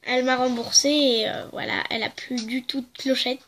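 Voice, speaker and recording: A woman's voice: sits high, fairly even intonation, normal speed, background noise. A young woman with a head cold, chatting hesitantly with lots of fillers while showing off her game. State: congested with a cold. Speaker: gaming video host. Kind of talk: casual game walkthrough.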